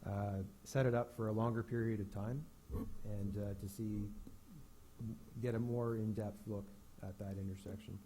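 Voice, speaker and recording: Halting man with a low dark voice. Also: steady tone, a little hiss or hum, rough recording. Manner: halting, many fillers and restarts. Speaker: town staff member. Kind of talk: answering council questions.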